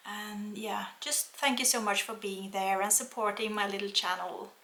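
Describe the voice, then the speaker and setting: A Norwegian woman speaking English as a second language, talking gently and unhurriedly in a casual knitting vlog. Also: medium pitch, steady tone, echoey room. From Norway. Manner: soft-spoken, gentle, unhurried with fillers. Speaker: knitting vlogger. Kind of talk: casual chat to camera.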